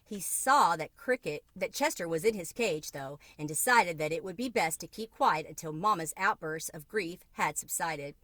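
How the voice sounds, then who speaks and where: A woman reading a children's book aloud in an expressive narrating style. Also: fairly low pitch, normal speed, hardly any pauses, no room sound. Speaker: storybook reader.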